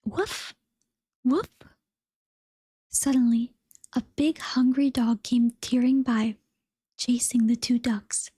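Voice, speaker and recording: A woman softly whispering a children's storybook as narrator. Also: on the high side, no echo. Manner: soft, breathy whispering.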